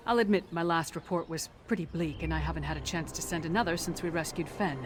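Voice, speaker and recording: A woman's voice: low-pitched, fast, close to an everyday voice. A woman voicing a tough warrior companion in a video game, with a dry, wry, no-nonsense delivery over game music.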